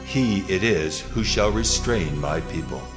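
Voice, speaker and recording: A man voicing a solemn, commanding God in a dramatized scripture, speaking gravely and with measured authority. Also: sits low, expressive. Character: the Lord God, solemn commanding male deity. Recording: music behind the voice.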